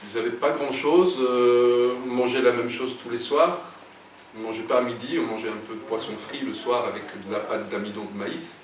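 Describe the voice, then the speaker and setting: A man's voice: mid-range voice, steady tone, a little fast. A middle-aged man, telling stories as an interview guest, hesitant, with many fillers and restarts. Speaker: guest writer. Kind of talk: casual interview storytelling. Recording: echoey room, distant mic, background noise.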